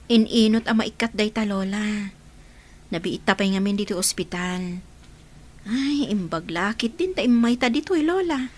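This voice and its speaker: A young woman playing a gentle, dutiful granddaughter in an audio drama, with an Ilocano Filipino accent. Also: mid-range voice, lively intonation, normal speed, close to an everyday voice. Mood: gentle, reassuring.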